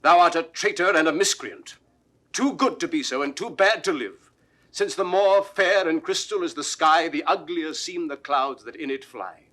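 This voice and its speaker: Forceful, high-pitched proud nobleman played by an actor. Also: normal speed, natural voice. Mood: accusing, defiant. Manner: declamatory, forceful, ringing delivery. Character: fierce, proud noble accuser Henry Bolingbroke. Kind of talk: classical Shakespearean verse acting, 1960s recording.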